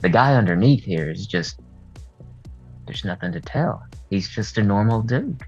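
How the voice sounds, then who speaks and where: A man with a husky voice talking candidly and at rambling length as a guest in a casual interview. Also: lowish voice, animated, a little slow, a little mellow. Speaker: horror artist and video creator, interview guest. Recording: dull lo-fi sound, music behind. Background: music.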